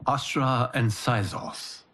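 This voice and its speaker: An actor playing a calm, wise guardian god in a video game, measured, formal and authoritative. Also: male voice, medium pitch. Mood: grave.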